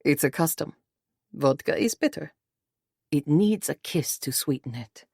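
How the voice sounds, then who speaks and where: A woman voicing a cautious, firm, quietly persuasive husband in an audiobook, measured and guarded. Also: sits low, lively intonation, gravelly, breathy edge, no room sound, studio quality, exaggerated voice. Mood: gentle. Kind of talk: audiobook character voice.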